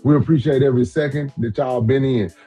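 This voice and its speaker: A man's voice: mid-range voice, steady tone, fairly quick, warm tone, slightly rough, dull audio, soft background music. A man in his forties co-hosting a podcast, talking loosely and emphatically in slang with plenty of repeats. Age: 40s. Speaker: podcast co-host. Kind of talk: casual sports chat.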